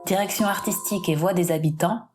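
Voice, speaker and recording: A woman narrator reading a scripted list of credits in a measured way. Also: lowish voice, over music.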